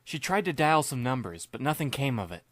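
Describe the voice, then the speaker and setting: A young man narrating in the first person, his mid-pitched voice dry and wry, with understated asides. The sound is close and dead, with no room behind it. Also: big pitch swings, fairly quick, no echo. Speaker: narrator and lead reader.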